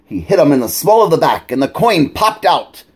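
A man performs a theatrical mad-doctor horror host with a fairly high voice. The delivery is jokey and hammy, with pitch that swings widely, like a comic announcer presenting a scripted bit. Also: very animated, moderate pace, slightly rough, exaggerated voice. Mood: playful, presenting. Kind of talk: scripted comic joke segment.

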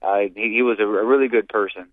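A low-voiced American man reminisces casually as a radio caller. His delivery is halting, with stammered, repeated words. The sound is narrow and phone-like, dry and without room echo. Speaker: radio call-in listener. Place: on a phone call to a radio studio. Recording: muffled phone-like call-in line.

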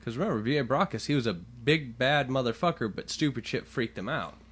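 Loud, joking podcast co-host man. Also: medium pitch, expressive, a little fast, breathy edge. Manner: louder, leans into the mic, joking and profane. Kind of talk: casual chat.

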